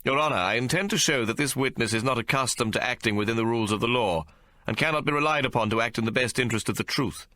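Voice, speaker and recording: A man's voice: mid-range voice, fast, bright, forceful tone, no room sound, clean studio sound, pitched above the performer's own voice, lightly acted. A man doing a formal earnest barrister.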